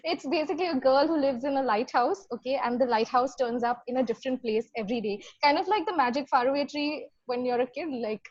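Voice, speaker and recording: Female guest, high husky voice, informal conversation, dry but muffled lo-fi audio. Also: fast, few pauses, a little mellow, dry recording. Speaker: guest, fiction podcast creator. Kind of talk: casual animated chat.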